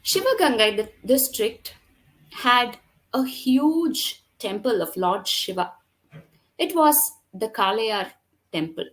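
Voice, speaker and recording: A woman from Kerala whose first language is Tamil, talking as a storyteller guest, explaining in a measured way with frequent fillers. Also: a little high, animated, a bit bright, rough recording. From Kerala, India. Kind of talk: conversational interview and storytelling.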